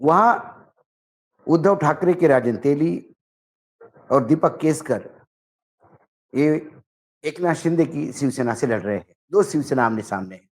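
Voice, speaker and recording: A man giving political commentary, speaking emphatically and deliberately in short phrases as he recounts dialogue. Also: on the high side, animated. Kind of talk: political commentary, narrating and translating a reported conversation.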